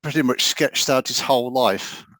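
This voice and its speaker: A man hosting a talk on a video call, easygoing and prone to chuckling and the odd stumble. His voice sits at a medium pitch. The sound is dry and close. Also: normal speed, fairly bright tone, dry recording, poor audio. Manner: casual, chuckling, with some stumbles. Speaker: talk host and moderator.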